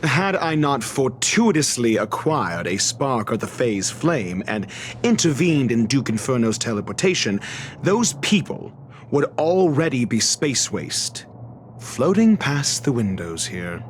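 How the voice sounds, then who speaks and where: An actor playing an arrogant, fastidious scholar-doctor in a video game, speaking in a pompous, measured, formal way. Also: male voice, mid-range voice, big pitch swings, a little hiss or hum. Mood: coolly probing. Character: arrogant, erudite male scholar-doctor, fastidious and disdainful of fools. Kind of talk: scripted video game cutscene dialogue.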